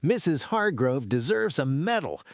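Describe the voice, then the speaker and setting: A man's voice: on the high side, big pitch swings, moderate pace, dry recording, clean studio sound. A man playing a folksy, wry small-town radio newsman and host from the seventies, reading a script on air. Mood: wry banter. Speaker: radio announcer.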